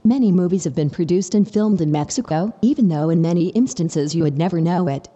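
A machine-made woman's voice reading a sample line in an even, measured way. The tone is dark and mellow. It is played back through speakers in a reverberant hall, so it sounds muffled and far away. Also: lowish voice, slightly rough. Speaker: synthetic demo voice. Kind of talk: text-to-speech sample.

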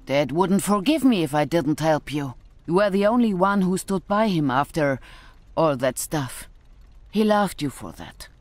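A woman's voice: fairly low pitch, lively intonation, measured pace, darkish tone, no room sound. An actress playing a cheeky, plucky young woman who works as a mech engineer in a video game. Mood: resolute. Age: young adult. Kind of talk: video game cutscene acting.

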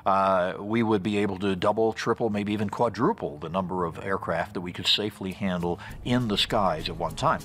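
A man's voice: low-pitched, brisk, hardly any pauses, a bit bright. An older man speaking as an interviewed expert, explaining things in an unscripted way. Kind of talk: interview commentary.

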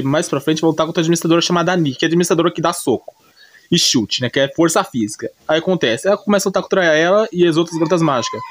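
Brazilian man rambling, slightly high-pitched. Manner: rambling, slangy, often self-correcting. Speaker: YouTube anime recapper. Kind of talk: casual, unscripted retelling of an anime story.

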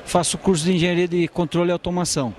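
A man in his forties, an engineering student who runs his own business, speaking in a measured, hesitant way into a handheld mic in a university corridor. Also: sits high, level tone, slight background noise. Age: 40s. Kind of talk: documentary interview.

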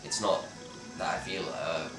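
A young man from Belgium answering interview questions in a relaxed, hesitant way, often restarting his sentences. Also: low voice, measured pace. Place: dim bar with red walls, indoors. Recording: roomy, distant sound with loud music behind.

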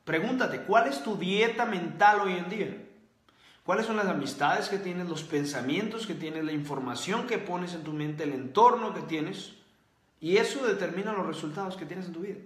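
Guy w a high voice doing a preachy motivational livestream, echoey room. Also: expressive, normal speed. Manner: preachy, repeats key points. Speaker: host. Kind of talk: motivational livestream talk, reading comments.

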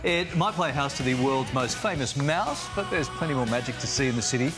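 A man's voice: medium pitch, lively intonation, brisk, over music. A middle-aged Australian man presenting a travel segment, upbeat and full of puns. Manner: playful, punning, upbeat. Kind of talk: scripted travel voice-over and banter.

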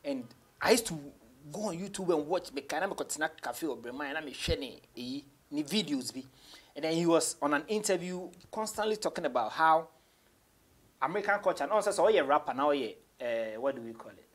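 A young Ghanaian man, a rapper being interviewed, talking casually in a husky voice and mixing English with Twi. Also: on the high side, animated, a little fast. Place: small radio studio office.

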